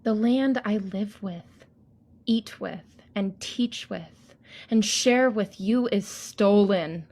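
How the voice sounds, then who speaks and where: A woman giving a poetic spoken-word performance in a solemn, deliberate and measured way. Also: medium pitch, a little mellow.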